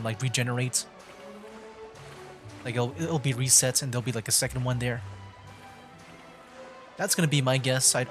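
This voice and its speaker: A man's voice: medium pitch, fairly bright tone. A man livestreaming a video game, thinking aloud in a casual, rambling, hesitant way. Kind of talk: casual gameplay commentary.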